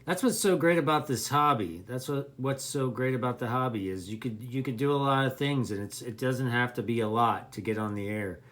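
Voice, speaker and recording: A man's voice: medium pitch, a little fast, hardly any pauses, a bit bright. A man giving unscripted, casual beginner advice as a hobbyist presenter, in a rambling, plainspoken, conversational way. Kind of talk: unscripted casual explanation to camera.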